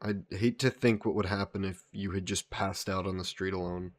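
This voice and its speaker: A courteous old-world vampire nobleman, acted by a man in an intimate audio drama. His voice sits on the low side, and he speaks in polite, formal phrases, gentle and modest with a touch of wistfulness. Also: steady tone, normal speed, close to an everyday voice. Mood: gentle, attentive. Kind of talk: scripted one-sided audio roleplay, intimate and conversational.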